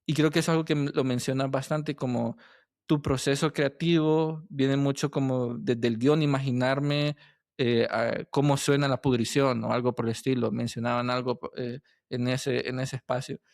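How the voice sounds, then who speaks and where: A man's voice: mid-range voice, steady tone, moderate pace, a little mellow, dry recording, studio quality. A man hosting a conversational podcast interview, feeling his way through sentences and checking in with tag questions. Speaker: podcast host interviewing a guest.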